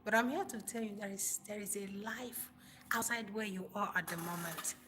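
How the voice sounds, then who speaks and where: A woman's voice: mid-range voice, normal speed, very noisy. A woman with a Nigerian accent hosting an informal motivational livestream, speaking emphatically and repeating phrases for stress. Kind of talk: informal motivational talk to live viewers.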